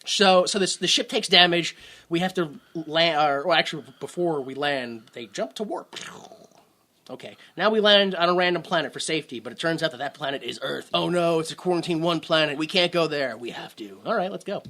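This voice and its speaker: Bright, sarcastic podcast co-host. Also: male voice, on the high side, brisk. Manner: sarcastic, animated recapping with mocking quoted voices. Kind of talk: casual movie-review chat.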